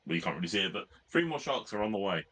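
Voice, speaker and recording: Hoarse British man, bright tense voice. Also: on the low side, big pitch swings. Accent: British English. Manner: halting, jokey. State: hoarse, recovering from lost voice. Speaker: podcast co-host. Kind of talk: casual hobby chat.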